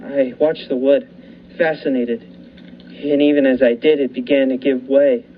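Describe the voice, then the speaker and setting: A man's voice: mid-range voice, fairly even intonation, unhurried. A young man narrating a radio thriller in the first person, telling it steadily and building the tension. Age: young adult. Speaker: narrator and lead actor. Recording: dull lo-fi recording, faint hiss.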